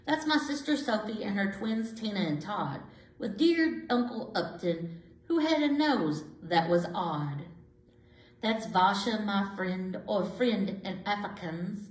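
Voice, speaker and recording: A woman's voice: medium pitch, expressive, takes it slowly. Woman reading as a kindly elderly lady, lilting, echoey. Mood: fond reminiscing. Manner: gentle, reassuring, reminiscing. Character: kindly elderly woman, lonely yet content, comforting a cat. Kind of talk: rhyming picture-book storytelling. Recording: roomy, echoey indoor sound.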